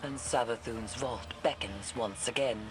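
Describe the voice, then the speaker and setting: A woman's voice: a bass voice, a little slow, very noisy. A woman voicing a solemn occult priestess in a video game, ceremonial and portentous. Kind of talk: scripted video game dialogue.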